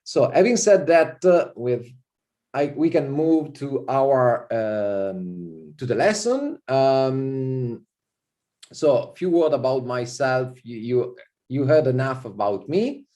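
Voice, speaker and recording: A man with an Italian accent gives a university lecture over a video call, his voice warm and mellow. He talks in a relaxed, easygoing way, dotting his sentences with fillers and a steady stream of "okay". He speaks at an everyday pitch through a computer mic. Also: slow pace. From Italy. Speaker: visiting professor.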